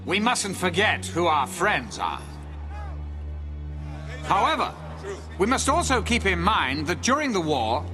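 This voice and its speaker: A commanding middle-aged admiral addressing a galactic assembly. The voice is high and widely swinging, with theatrical, measured oratory over a clear musical score. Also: male voice, pushed and bright, full character voice. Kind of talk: formal political speech. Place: large assembly hall, at a podium. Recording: film soundtrack with score behind.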